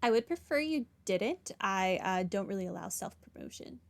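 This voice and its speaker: A woman's voice: medium pitch, animated, normal speed, gravelly, slight background noise. A woman game streamer chatting casually with her livestream audience as she plays.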